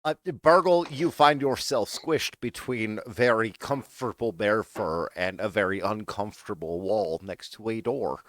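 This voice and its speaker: Slow, halting male game master. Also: fairly low pitch, fairly bright tone, no echo. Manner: halting, unhurried narration with many fillers. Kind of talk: narrating a tabletop game.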